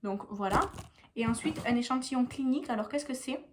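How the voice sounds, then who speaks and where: A woman's voice: a little high, a little fast, a little hiss or hum. A young woman with a stuffy nose from a cold, chatting casually in a meandering beauty vlog at home, full of hesitations. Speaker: beauty vlogger.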